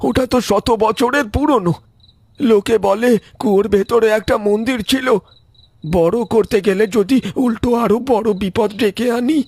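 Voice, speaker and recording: Man acting a high-pitched fearful villager. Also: normal speed, a little mellow, dry recording. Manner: pleading, repeats words. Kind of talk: Bengali cartoon horror story acting.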